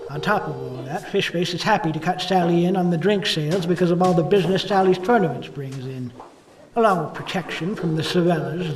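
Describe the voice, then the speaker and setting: A man's voice: a little high, far from the mic, natural voice, low-quality recording. Hard-boiled male detective narrator acted by a man, cynical and wry, noir radio play, slightly off-mic. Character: cynical, world-weary 1950s police detective.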